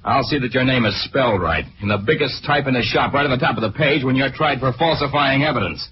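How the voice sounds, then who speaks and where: An actor playing a brash, cocky young newspaper reporter, combative and slangy, in a 1940s radio drama. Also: male voice, medium pitch, quick pace, close to an everyday voice. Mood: taunting, angry. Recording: noisy, muffled 1940s radio recording.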